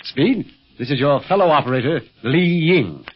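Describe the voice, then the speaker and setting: Genial adult adventurer, expressive delivery, 1930s radio serial, muffled old recording. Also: male voice, fairly high pitch, normal speed, breathy edge. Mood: earnest. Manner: amused, chuckling, teasing. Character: easygoing adult adventurer uncle, genial secret agent.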